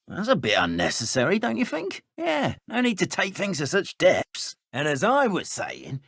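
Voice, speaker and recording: A fussy male henchman from a fantasy game. His British voice is fairly high and swoops dramatically, recorded dry and close. He is polite, wordy and primly indignant. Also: wildly swooping pitch, measured pace, vocal fry, fairly bright tone, dry recording, full character voice. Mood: affronted. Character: fussy, affronted, polite male dark wizard criminal. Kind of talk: video game voice acting.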